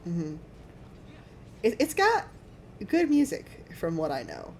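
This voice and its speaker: Female gaming-stream guest, lower-pitched and lively in intonation, casual banter, slight noise behind. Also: takes it slowly. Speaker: guest friend on game stream. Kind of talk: casual chat while gaming.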